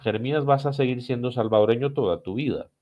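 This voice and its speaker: A man's voice: medium pitch, fast, darkish tone. A man with a Costa Rican accent explaining things as a podcast guest, in a didactic way with short, emphatic, repeated phrases. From Costa Rica. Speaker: missions director, podcast guest. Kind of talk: explanatory teaching in a podcast conversation.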